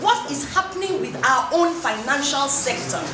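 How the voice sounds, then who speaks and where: A woman's voice: high-pitched, animated, moderate pace, crowd noise. A Nigerian woman in the audience asking an earnest, insistent question at a public forum. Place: large hall at a public forum. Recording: echoey hall with background noise.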